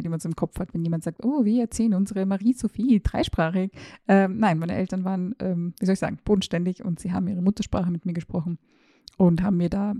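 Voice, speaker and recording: Woman in her 30s, chatty voice-message style, dark voice, pretty monotone, lots of hesitant ums. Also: lowish voice, dry recording, studio quality. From former Yugoslavia, raised in Austria. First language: Serbo-Croatian. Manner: chatty and self-ironic, hesitant fillers. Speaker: podcast host, journalist. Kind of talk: casual personal monologue, like a voice message.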